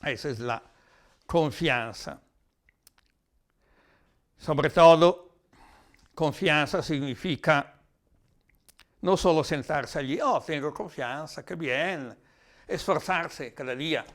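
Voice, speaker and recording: A man with an Italian accent giving a reflective, explanatory talk in a language that is not his native one, sometimes halting as he searches for words. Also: fairly high pitch. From Italy. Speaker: lecturer.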